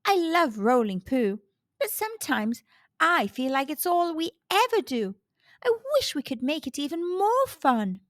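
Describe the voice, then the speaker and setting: A woman voicing an eager young male dung beetle in a children's storybook, expressive and exclamatory. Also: sits high, unhurried, no echo, studio quality, pitched above the performer's own voice. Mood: wistful.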